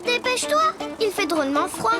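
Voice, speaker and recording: A woman voicing a small, cheerful girl bird in a children's cartoon, excitable and eager. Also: extremely high pitch, moderate pace. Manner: excitable, squealing with joy. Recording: loud music behind.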